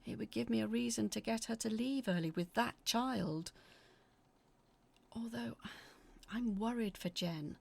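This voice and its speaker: A woman acting as an anxious narrator, telling a scripted first-person horror story in a confiding, uneasy, dramatic way with a husky, creaky voice. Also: medium pitch, darkish tone, heavily acted. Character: anxious woman reading out her frightened letters about sinister events.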